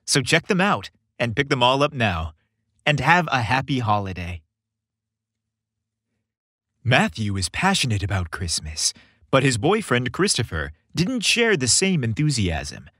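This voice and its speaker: A man's voice: medium pitch, huge pitch swings, measured pace, fairly bright tone, no room sound. A man hosting a story podcast, reading scripted narration with a playful, theatrical delivery, close on the microphone.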